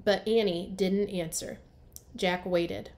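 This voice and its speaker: A woman teacher reads a children's storybook aloud at a slow, measured pace. Her pitch sits in the middle for a woman, and she phrases things simply for small listeners. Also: steady tone, a little mellow. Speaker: first grade teacher.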